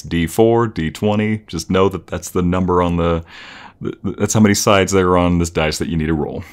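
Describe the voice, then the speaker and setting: Man playing a low-voiced, animated dorky boyfriend. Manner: playful, teasing, enthusiastic, stammering and giggly. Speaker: audio roleplay performer. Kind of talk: intimate boyfriend roleplay, casual improvised-sounding chat.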